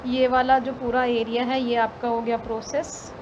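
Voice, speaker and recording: An Indian woman speaks in a high-pitched voice, teaching in an explanatory way. She goes over each point step by step and restates it. The recording has clear noise behind her. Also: level tone, normal speed, muffled recording. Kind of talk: explanatory slide lesson, reading definitions aloud and explaining them. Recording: clearly noisy background throughout.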